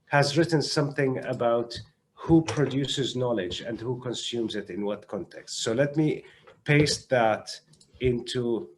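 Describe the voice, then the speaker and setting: A male doctor speaking as a panelist in an online discussion, explaining in a measured, halting way and often repeating words as he goes. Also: medium pitch, a little hiss or hum. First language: not French (French is third language). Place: online video conference.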